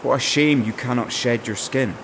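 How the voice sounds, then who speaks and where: A man's voice: medium pitch, normal speed, noisy background, close to an everyday voice. A man playing a bold, courtly would-be king in a fantasy audio drama, with gallant, flowery, formal speech. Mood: earnest.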